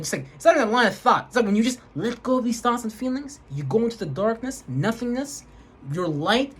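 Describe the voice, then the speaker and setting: A man's voice: on the high side, a bit bright, a little hiss or hum. A man vlogging an emphatic, rambling spiritual rant, swearing heavily and repeating words for emphasis. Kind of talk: profane spiritual rant to camera.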